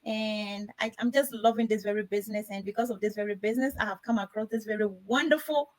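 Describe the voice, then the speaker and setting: A woman's voice: mid-range voice, steady tone, fast. A woman from Ghana hosting a live panel discussion in an effusive, grateful manner. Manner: effusive, repeatedly thanking. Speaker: host.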